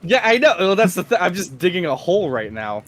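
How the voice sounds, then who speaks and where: A man co-hosting a podcast, flustered and stammering, often breaking into nervous laughter, with a slight rasp when his voice rises. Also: very animated, a little fast, fairly bright tone. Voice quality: slight raspiness when his voice goes high. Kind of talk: flustered casual chat.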